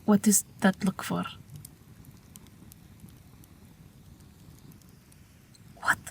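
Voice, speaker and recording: A woman's voice: mid-range voice, flat delivery. A woman voicing an ageless Russian night witch pilot, wry, tender and weary, in an intimate, whispery audio roleplay. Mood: dry, coaxing. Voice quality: breathy, whispery. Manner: gentle, dryly wry, unhurried.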